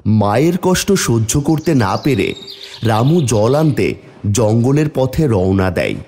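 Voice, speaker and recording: A man narrating a scripted fairy tale as a storyteller for an animated video. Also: medium pitch, slow pace, a little hiss or hum.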